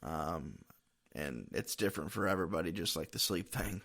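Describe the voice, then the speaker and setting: A man's voice: low voice, expressive, normal speed, vocal fry, fairly bright tone. A man hosting a podcast, chatting casually and informally in a rambling way, as if thinking aloud. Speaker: podcast host. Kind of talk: casual interview chat.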